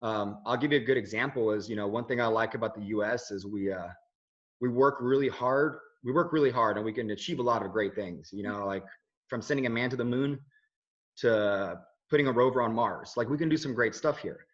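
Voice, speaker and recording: A man in his thirties, from Switzerland but with no accent, talks in an earnest, loose way, often restarting his sentences and leaning on fillers. His voice sits in an ordinary middle pitch. The audio sounds dull and lo-fi. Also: fairly quick. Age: 30s. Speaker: interviewee, video journalist. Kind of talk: casual conversational interview.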